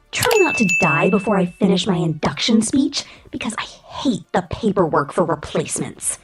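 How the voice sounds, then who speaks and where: A woman voicing a domineering, sadistic female corporate killer robot in a menacing, half-whispered ASMR roleplay monologue. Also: medium pitch. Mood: cold, domineering. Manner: menacing, contemptuous, commanding, breathy half-whispered delivery.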